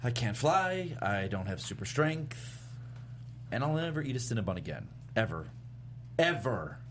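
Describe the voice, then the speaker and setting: A man's voice: medium pitch, sing-song, normal speed, close to an everyday voice. A man playing an awkward, exasperated young vampire who stammers and sulks through a comedy script read. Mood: mopey. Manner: stammering, self-correcting, sullen. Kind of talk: comedy pilot table read. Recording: faint background room noise.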